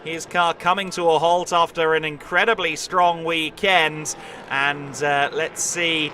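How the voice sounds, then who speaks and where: A man giving calm, fluent live play-by-play commentary on a stock car race. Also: a little high, measured pace. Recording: loud race car noise behind. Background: racing engines.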